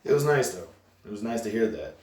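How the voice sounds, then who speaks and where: A young man rambles through his week with plenty of fillers and restarts. His voice sits very low. It rings through an echoey room, picked up from a distance. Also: expressive, fairly quick, a little mellow. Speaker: vlogger. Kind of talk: casual vlog rant.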